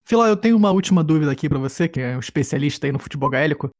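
A man co-hosting a podcast, asking questions in a lively, teasing way. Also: a little high, racing, no echo, studio quality. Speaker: podcast co-host. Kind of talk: casual chat, asking questions.

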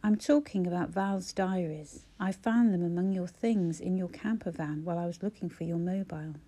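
A woman's voice: on the low side, normal speed, dark tone. A woman voicing a stern younger man who speaks in a cold, cutting and condemning way.

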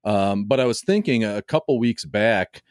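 A man with a lowish, slightly scratchy and hoarse voice talks in a loose, rambling way, often restarting his sentences. His podcast mic gives a close, dry sound with no room in it. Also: expressive, normal speed, no room sound. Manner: rambling, hesitant, with frequent restarts. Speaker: podcaster guest. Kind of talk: casual podcast chat. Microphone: home podcast mic.

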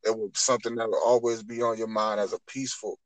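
Male, 20s, low voice. Earnest spiritual talker, circles back over his phrases to build each point. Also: level tone, fast talker, a little hiss or hum, rough recording. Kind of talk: spiritual talk in conversation.

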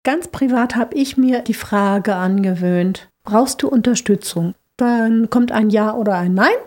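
A woman interviewee answering frankly and in a relaxed way. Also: a little high, a little slow.

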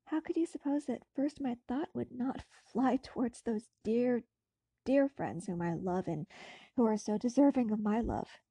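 A woman voicing an emotional, convalescent young gentleman in a storybook reading, with effusive, formal phrasing. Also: on the high side, a little slow, husky, no echo, voice pushed up. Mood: fervent, affectionate. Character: Victor Frankenstein, emotional young gentleman recovering from illness.

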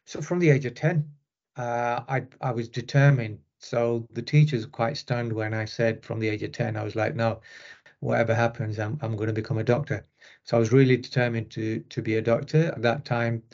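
Northern English man, flat even delivery. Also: medium pitch, moderate pace, highs cut off. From Rochdale, northern England. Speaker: interview guest, sports medicine consultant. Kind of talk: conversational interview answers.

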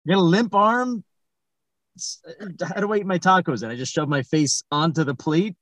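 A man with a slightly high voice hosts a casual comic interview. He responds as a dry, bemused straight man, often hesitating and restarting as he works through what he is told. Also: moderate pace, a bit bright. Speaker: podcast host.

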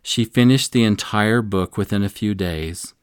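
A man with a fairly low voice teaches a devotional scripture lesson in an earnest, measured way. He sits close to the microphone in a dry, dead-sounding space and slows down to let important points land. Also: clear, resonant tone, dry recording. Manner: earnest, slows down to stress key lines. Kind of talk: devotional teaching with personal stories.

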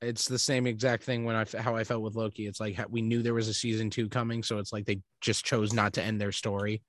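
A man's voice: on the low side, little pitch movement, fast, dry recording. Dry-sounding man thinking aloud. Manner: thinks aloud, searching for words. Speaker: podcast co-host. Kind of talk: casual chat.

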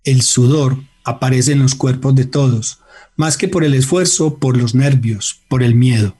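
Un hombre narra una radionovela con guion, de manera medida, literaria y dramática. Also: male voice, mid-range voice, mellow, breathy edge. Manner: measured, literary, dramatic. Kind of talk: scripted radio drama narration.

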